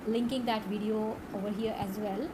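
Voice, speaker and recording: A woman's voice: mid-range voice, steady tone. Earnest Pakistani-accented woman tutor. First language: Urdu. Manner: earnest, unhurried, many filled pauses. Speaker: online course tutor. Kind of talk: direct-to-camera teaching talk. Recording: audible background noise.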